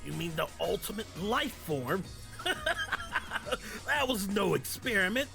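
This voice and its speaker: A woman's voice: medium pitch, big pitch swings, measured pace, gravelly, music in the background. A woman voicing a smug, gleefully mad old male scientist villain in a comedic fan dub, theatrical and gloating.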